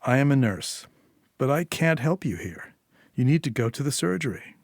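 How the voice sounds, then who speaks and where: Man reading as brisk, insistent young nurse. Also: mid-range voice, big pitch swings, no echo, studio quality. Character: anxious, brisk young mother and nurse, firmly instructing.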